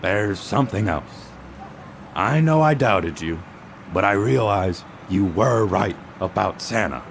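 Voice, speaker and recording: A man playing a stern, authoritative police chief in a radio drama, with a gravelly, husky voice and a brusque, commanding manner. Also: on the low side, expressive, takes it slowly, slight background noise, exaggerated voice. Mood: approving.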